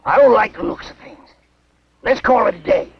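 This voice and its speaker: High-pitched uneasy Southern swamp man. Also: normal speed, gravelly. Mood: uneasy, insistent. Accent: American South. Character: uneasy backwoods swamp poacher, folksy and wary. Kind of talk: 1950s film acting. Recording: noisy, muffled old film soundtrack.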